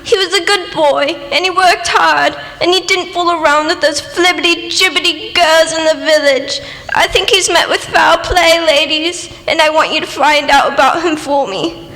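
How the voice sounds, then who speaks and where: A woman's voice: very high-pitched, steady tone, normal speed, bright, forceful tone, full character voice. A woman playing a poor old village mother, anxious and pleading, with folksy, deferential speech in a radio play. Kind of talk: amateur radio play acting. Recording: echoey hall reverb.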